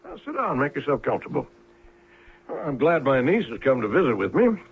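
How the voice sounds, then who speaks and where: A gravelly older scientist, raspy and theatrical on a muffled vintage broadcast. He is a proud, embittered schemer who gives orders deliberately, his pitch swooping widely. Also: sing-song, heavily acted. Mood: genial. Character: bitter, proud older male inventor and uncle, coldly scheming. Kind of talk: old-time radio drama acting. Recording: lo-fi, muffled old radio broadcast.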